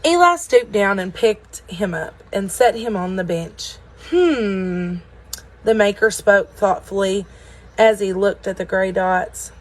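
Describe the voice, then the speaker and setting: A woman's voice: medium pitch, measured pace. A woman reading a children's story aloud. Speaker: story reader at a school.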